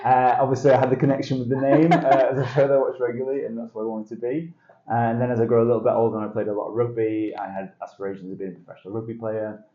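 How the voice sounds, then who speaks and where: A man's voice: mid-range voice, flat delivery, racing, mellow. A man, a podcast guest talking casually and self-deprecatingly about his path. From northern England. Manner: chatty, self-deprecating, with frequent restarts and light laughs. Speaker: guest, sales director. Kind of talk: casual interview conversation. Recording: dull lo-fi sound in an echoey room.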